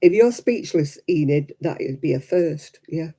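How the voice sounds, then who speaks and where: Very dark, croaky-voiced British woman. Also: deep voice, lively intonation, a little slow. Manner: wry, teasing. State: hoarse after illness. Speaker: livestreaming crafts vlogger. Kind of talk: casual chat to live viewers.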